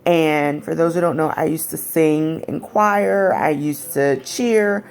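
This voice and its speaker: Raspy deep-voiced woman, candid. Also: fairly even intonation, slow pace. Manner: candid, frank and conversational. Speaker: vlogger. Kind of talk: personal storytelling to camera.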